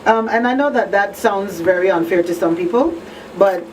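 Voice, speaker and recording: A woman hosting a live radio discussion in an earnest, deliberate way. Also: mid-range voice. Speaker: radio talk show host. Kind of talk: live discussion, advocacy talk. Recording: distant mic, faint background noise.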